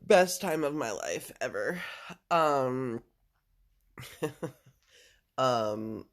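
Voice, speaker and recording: Deep gravelly guy rambling on, lots of likes and ums, swears casually, voice bounces around a lot. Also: expressive, very slow. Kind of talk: casual personal chat with a listener.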